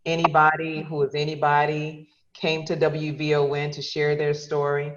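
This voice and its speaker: A woman's voice: sits low, monotone, normal speed, lo-fi sound, noisy background. A woman from Chicago, a radio host and podcaster, telling her career story with enthusiasm on a video call. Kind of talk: casual video call chat.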